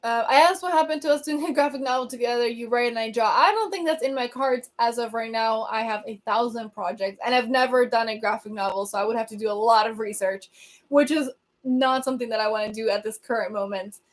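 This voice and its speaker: A young woman from Venezuela, a native Spanish speaker, hosting a livestream and chatting casually as she answers comments, laughing often and filling pauses with um. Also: on the high side. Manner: chatty, laughs often, many ums and restarts. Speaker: livestream host.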